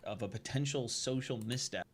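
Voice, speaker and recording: A man's voice: mid-range voice, normal speed, crowd noise. A man giving an aggrieved personal statement in an emphatic, indignant way, heard as replayed video audio. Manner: emphatic, indignant, dwelling on grievances.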